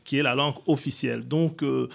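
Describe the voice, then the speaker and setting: A man's voice: mid-range voice, fairly even intonation, brisk, gravelly. A man hosting a radio interview in a studio, asking long questions full of hesitations and fillers. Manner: hesitant, many filler sounds. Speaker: radio host.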